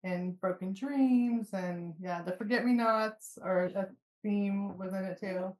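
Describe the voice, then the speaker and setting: A woman's voice: mid-range voice, slow pace, darkish tone. An American woman in her fifties hosting a casual conversational interview and asking questions informally. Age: 50s. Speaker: podcast host. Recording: roomy, echoey sound.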